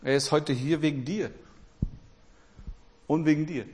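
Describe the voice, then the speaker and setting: A man from Portugal preaching a sermon with passion, repeating his phrases and swinging between loud and quiet. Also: a little high, fairly even intonation, measured pace.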